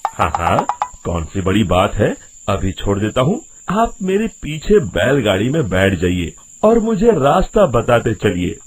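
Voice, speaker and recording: A man playing an anxious village man in a dramatised story, with dramatic, exclaiming delivery and a husky voice. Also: fairly low pitch, wildly swooping pitch, measured pace, a little hiss or hum. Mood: obliging, puzzled. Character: anxious village men, cart driver and shopkeeper. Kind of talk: dramatised Hindi story acting.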